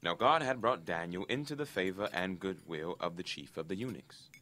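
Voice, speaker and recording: A man's voice: deep voice, normal speed, sharp tone. A man narrating a dramatic scripted Bible reading in a formal, solemn storytelling manner. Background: background music.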